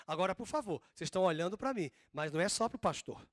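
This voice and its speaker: Northeastern brazilian pastor guy, drawly accent, high voice, dry close sound, slow emphatic preaching. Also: lively intonation, no echo. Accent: Northeastern Brazilian Portuguese. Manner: drawn-out, emphatic preaching with slow stressed phrases. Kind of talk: sermon.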